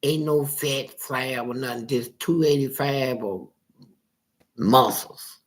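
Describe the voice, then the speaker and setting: A man with a gravelly voice telling a story casually, in animated, profane street talk and acting out the dialogue. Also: medium pitch, slow. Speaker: interviewee telling his life story.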